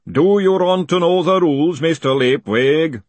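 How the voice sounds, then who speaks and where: Man putting on a slow, booming cheerful golem. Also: no echo, natural voice. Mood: looming, booming. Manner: formal, politely relentless. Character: huge cheerful booming male golem parole officer.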